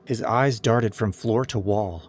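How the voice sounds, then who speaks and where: Male audiobook narrator, low register, dramatic scripted delivery over clearly audible ambient noise. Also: unhurried.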